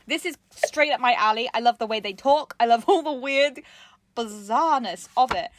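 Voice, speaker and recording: A woman's voice: fairly high pitch, normal speed, no room sound. A woman chatting casually and playfully as co-host of a craft show, giggly and enthusiastic. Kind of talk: casual comedic chat.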